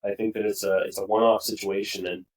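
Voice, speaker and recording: A young man co-hosts a casual podcast with a somewhat low voice. He talks earnestly and with conviction, often restarting his sentences. He sounds a little distant from the microphone. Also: flat delivery, quick pace. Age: young adult. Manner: earnest, opinionated, rambling with restarts. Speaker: podcast co-host. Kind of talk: casual political discussion.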